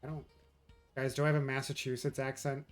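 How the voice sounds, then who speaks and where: A man from New York talks loosely with his viewers as he plays through a game. His voice sits at an ordinary middle pitch for a man. Faint game music plays quietly under his chatter. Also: flat delivery, a little fast. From New York, USA. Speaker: streamer. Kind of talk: casual gameplay chat with viewers.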